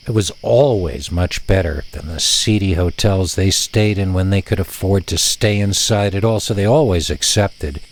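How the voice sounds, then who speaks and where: Low-voiced man telling a story, crickets behind. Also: a little mellow, slight background noise. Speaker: story narrator. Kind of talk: scripted storytelling narration.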